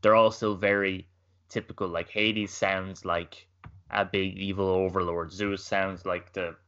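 Opinionated low-voiced man on a podcast. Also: little pitch movement, measured pace, pushed and bright, lo-fi sound. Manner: opinionated, repeats words as he goes. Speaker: podcast co-host. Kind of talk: casual chat.

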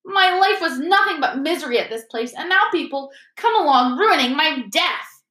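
A high, tearful ghost girl from a storybook acted out by a woman, drenched in self-pity. She wails melodramatically and takes offence at everything, her voice ringing in an echoey room. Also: big pitch swings, a little slow, bright, forceful tone, exaggerated voice. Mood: tearful, wounded.

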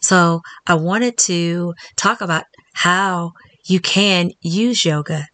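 A woman in her thirties hosting a solo wellness podcast, talking earnestly and reflectively, slowing down for spoken affirmations. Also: lowish voice, bright, forceful tone, dry recording. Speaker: podcast host.

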